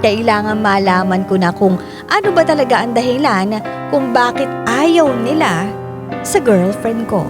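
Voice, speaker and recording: A young man confiding his troubles in a radio drama. He narrates in an earnest, reflective, weighed-down way, with music clearly playing beneath his voice. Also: very high-pitched, lively intonation, unhurried. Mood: troubled, reflective. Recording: music bed under narration.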